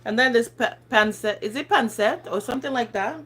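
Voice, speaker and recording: A woman's voice: on the high side, fairly quick, a bit bright. A woman in her thirties with a non-native accent, chatting casually on a phone livestream, rambling, laughing and stumbling over words. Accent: non-native English accent. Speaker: lifestyle channel host chatting on a livestream. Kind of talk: casual live chat reading viewer comments.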